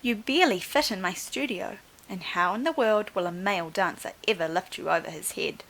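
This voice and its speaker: A woman's voice: medium pitch, brisk, a bit bright, low-quality recording. A woman voicing a grand, kindly but commanding dance mistress in a storybook, theatrical and genteel. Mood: regretful.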